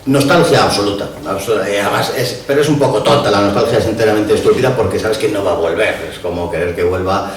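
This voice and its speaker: An older author speaks bluntly and with open scorn, pausing on frequent hesitations. His voice sits fairly low and comes from well back in a very echoey room. A faint hum of room noise lies under it. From Madrid. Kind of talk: book presentation talk. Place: bookshop event room. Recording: roomy echo from a distant mic.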